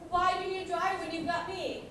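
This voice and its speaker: A lovestruck, guilt-ridden husband acted by a woman tells his story with theatrical flourish in a student stage comedy. The voice is high and comes from well away from the mic, in a very echoey, noisy hall. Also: flat delivery, fast, recorded from a distance. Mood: anxious, defensive. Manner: theatrical, melodramatic, stammering under accusation. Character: lovestruck, guilt-ridden narrator named Manny, melodramatic husband. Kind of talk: student stage comedy, confessional narration and dialogue. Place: school theater stage, recorded from audience.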